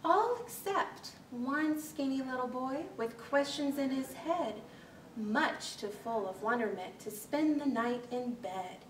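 A woman in her thirties reading a rhyming children's story aloud with an expressive, rhythmic storytelling delivery. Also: high voice. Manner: animated, deliberate, rhythmic delivery of rhyming verse. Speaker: librarian reading a picture book aloud. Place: small library children's corner, indoors. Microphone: camera a few metres away. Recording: echoey room, distant mic, faint hiss.

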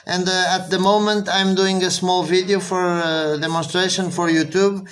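A man's voice: sits high, level tone, normal speed, a bit bright. A man with a Portuguese accent, speaking English as a second language, making a radio contact and spelling things out phonetically. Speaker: amateur radio operator.

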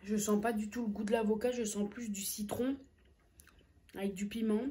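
A young woman with a soft, mellow voice talks casually to the camera while she eats. She tells her story with plenty of hesitant fillers and pauses between bites. She is recorded up close in a small room. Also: mid-range voice, steady tone, slight background noise. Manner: chatty, hesitant fillers, eating between sentences. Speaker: food vlogger.

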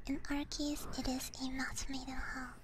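A young woman streaming ASMR, chatting casually to viewers in a soft, breathy, gentle whisper, close to a binaural microphone. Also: sits high, flat delivery, normal speed, noisy background. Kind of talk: whispered ASMR livestream chat.

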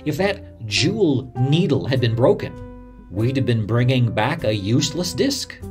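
Man playing a lively radio newsman reminiscing over music. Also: mid-range voice, measured pace. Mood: earnest recollection. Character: male radio newsman recalling his career, earnest, indignant. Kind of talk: dramatised first-person recollection.

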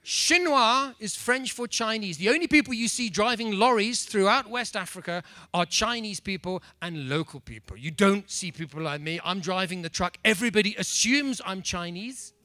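Animated middle-aged English man, bright voice. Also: sits high, moderate pace, few pauses, no room sound, studio quality. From England. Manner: animated, playful, mock-apologetic. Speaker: speaker. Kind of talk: stand-up comedy talk. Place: conference room. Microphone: handheld mic.

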